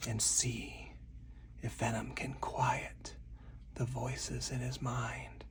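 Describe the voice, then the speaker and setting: Someone speaking in a breathy, deliberate, hushed whisper, in the style of an ASMR game playthrough with commentary. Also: medium pitch, little pitch movement, far from the mic, a little hiss or hum. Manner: slow, deliberate, hushed delivery. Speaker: solo card game player and host.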